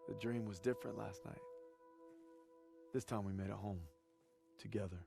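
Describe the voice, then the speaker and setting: A man's voice: lowish voice, fairly even intonation, normal speed, creaky. An actor playing an earnest, haunted young farm boy soldier from Texas, speaking solemnly and plainly with a Southern accent in a war game. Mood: solemn, reflective. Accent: American South, Texas. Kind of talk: cinematic war game acting with reflective narration. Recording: cinematic music behind the voice.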